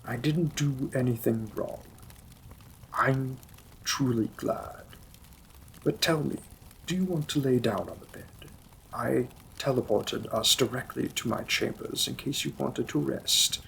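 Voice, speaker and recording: Man acting as slow, breathy demon king lover. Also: mid-range voice, fairly bright tone, slight background noise, low-quality recording. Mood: tender, concerned. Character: menacing, possessive demon king, secretly a tender lover. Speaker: audio roleplay performer. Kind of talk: dramatic romantic fantasy audio roleplay.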